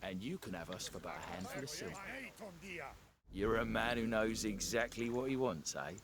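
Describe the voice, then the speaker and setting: A man's voice: lowish voice, animated, moderate pace, over a noisy crowd, lightly acted. An actor playing a boastful mercenary captain who theatrically sells his warriors in a video game. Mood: boastful, wheedling. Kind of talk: dramatic video game acting.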